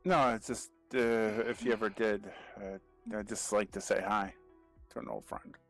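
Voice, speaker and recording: A man in a tabletop role-playing session with a gravelly, creaky voice, hesitant and wry, often trailing off with fillers. Faint music and typing can be heard behind him. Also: lowish voice, fairly even intonation, normal speed. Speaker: tabletop game player. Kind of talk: casual in-character game chat.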